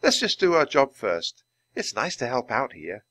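Man voicing a young girl, cheerful, high, chirpy, bright, lively, dry close sound. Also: normal speed, no room sound. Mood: eager.

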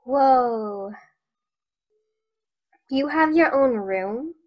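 A woman's voice: high-pitched, animated, extremely slow, full character voice. A woman playing a naive, nervous little girl in an audio drama, hesitant and full of questions. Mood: curious, unsure. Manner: hesitant, anxious.

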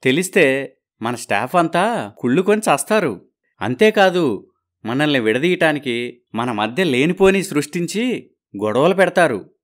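An actor playing a sly, boastful married man in a cartoon story, smooth-talking and evasive. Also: a little high, animated, measured pace, pauses often. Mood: coaxing.

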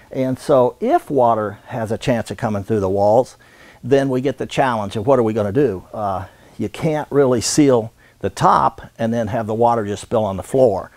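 An American man in his sixties giving an unscripted instructional demonstration in a halting, folksy way. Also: medium pitch, darkish tone, no echo. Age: 60s. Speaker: radon supply business owner and instructor. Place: indoor warehouse.

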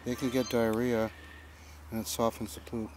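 A man's voice: mid-range voice, flat delivery, moderate pace, a little mellow, slight background noise. A man chatting casually on a hike, explaining things in a matter-of-fact way, picked up by a camera a few steps away in an open field. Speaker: hiking companion. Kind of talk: casual conversation. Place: open grassy field outdoors.

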